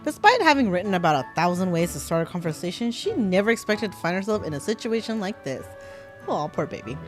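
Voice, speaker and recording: A woman's voice: on the low side, big pitch swings, fast talker. A woman streaming a game, reading its text aloud and reacting in a playful, giggly way. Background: game music.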